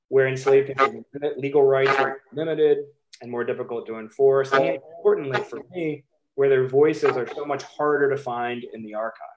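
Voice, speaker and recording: A man in his thirties with an American accent, giving a measured, emphatic academic talk over a video call, with occasional ums. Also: medium pitch, highs cut off, background noise. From United States. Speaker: historian presenting his book.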